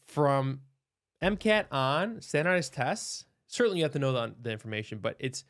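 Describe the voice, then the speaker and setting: Thoughtful mid-pitched male doctor. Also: lively intonation, moderate pace, a bit bright. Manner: thoughtful, many restarts and hedges. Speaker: osteopathic doctor, podcast guest. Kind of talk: casual interview talk.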